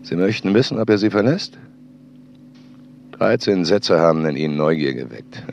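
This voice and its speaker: An actor playing a stern, aloof storyteller who narrates dramatically in short, deliberate sentences on an old home tape. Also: male voice, animated, a little mellow, muffled recording, a little hiss or hum. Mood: grave, intense. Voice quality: very deep, gravelly.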